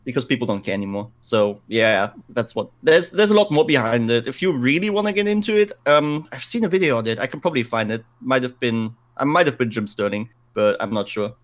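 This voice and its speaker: A man co-hosting a podcast, chatting casually in a halting, loosely phrased way. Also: mid-range voice, animated. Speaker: podcast co-host. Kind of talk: casual chat.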